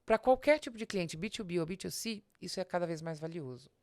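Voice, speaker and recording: A Brazilian woman with a fairly low voice talks through business advice in podcast style. She is didactic and addresses the listener directly, often asking rhetorical questions. The sound is very dry, with hardly any room in it. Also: animated, brisk, darkish tone, no room sound. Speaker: business advisor and podcast host. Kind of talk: business podcast talk, explanatory with examples.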